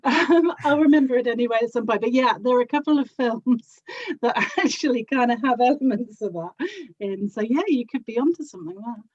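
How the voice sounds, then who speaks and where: A woman's voice: a little high, a little fast, a little mellow, highs cut off. A woman from South London with a South London accent, chatting casually as an interview guest. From South London, England. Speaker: interview guest, audio drama actor and producer.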